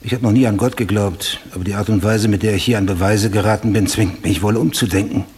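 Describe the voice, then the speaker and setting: An actor playing a driven, obsessive homicide detective sergeant in a German radio drama, speaking in a formal, deliberate, insistent way. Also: male voice, on the low side, steady tone, a little mellow, slight background noise, natural voice. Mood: restrained, troubled.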